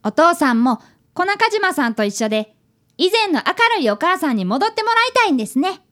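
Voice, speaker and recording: Eager young schoolboy sleuth, very high voice, bouncy intonation, polite, radio drama. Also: female voice, sing-song, brisk, fairly bright tone, heavily acted. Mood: earnest, gentle. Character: earnest, polite fifth-grade schoolboy apprentice detective. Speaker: child apprentice detective in a radio drama.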